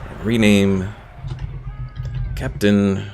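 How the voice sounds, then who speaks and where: A man's voice: fairly low pitch, level tone, extremely slow, noisy background. A man streaming a strategy game, thinking aloud and reading out names from his chat. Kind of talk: casual gameplay commentary.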